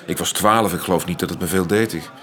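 An actor in a radio play as a restless, evasive man in his thirties, speaking naturally and haltingly. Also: sits low, bright, forceful tone. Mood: guarded. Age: 30s. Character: restless, evasive male friend, a war criminal's son. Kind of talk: naturalistic radio drama acting.

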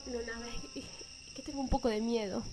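A woman's voice: on the high side, fairly even intonation, quick pace, noisy background, lightly acted. A woman with an Argentine accent playing a fearful, hesitant teenage girl in love in a radio drama. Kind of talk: amateur radio drama acting. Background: music.

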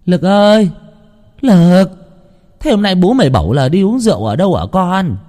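A man's voice: high-pitched, sing-song, moderate pace, mellow, pitched above the performer's own voice. Guy putting on a worried village wife, voice pushed way up, echoey.

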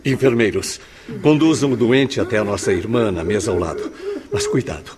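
A man's voice: on the low side, wildly swooping pitch, moderate pace, crowd noise. A man playing a composed spirit caregiver in a Brazilian radio drama, speaking formally and with authority in a Brazilian accent. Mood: calm authority. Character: composed male spirit caregiver in charge of nurses.

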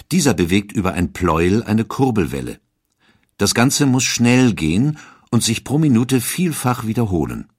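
A man narrating a documentary audiobook in a calm, explanatory manner. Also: lowish voice, breathy edge, no echo, clean studio sound.

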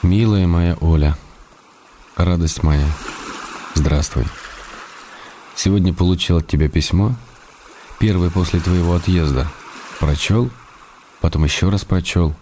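Affectionate melancholy writer, deep mellow voice, restrained, tender, measured phrases, radio play love letters, slight background noise. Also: male voice. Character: weary, affectionate, wry male writer missing his beloved.

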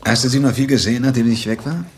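A man's voice: mid-range voice, steady tone, racing, background noise, close to an everyday voice. A man acting a brooding, laconic widower in a radio drama, telling his story in an understated, terse, wry way. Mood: quiet, probing. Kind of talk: radio drama acting and first-person narration.

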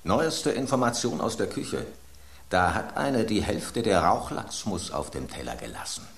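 An actor playing a gossiping loaf of bread that spreads dramatic kitchen rumours in a radio play. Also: male voice, fairly low pitch, very animated, a little slow, vocal fry, roomy sound, full character voice. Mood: gossipy.

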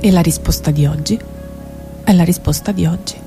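A woman's voice: on the low side, brisk, music in the background. A woman giving a melancholic, introspective, theatrical spoken-word reading of poetic prose, as a stage performer.

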